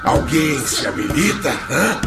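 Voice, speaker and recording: An anguished male ghost in a radio play, desperate and broken. His high voice swings wildly as he wails and pleads, over loud dramatic music. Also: very animated, measured pace, creaky, far from the mic, full character voice. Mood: bitter torment. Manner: wailing, pleading, theatrical.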